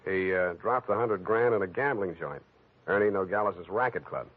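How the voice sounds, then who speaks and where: An actor playing a sardonic, hard-boiled private detective with clipped wisecracks in a 1940s radio drama. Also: male voice, low-pitched, normal speed. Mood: dry, sardonic. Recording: tinny, band-limited old radio recording.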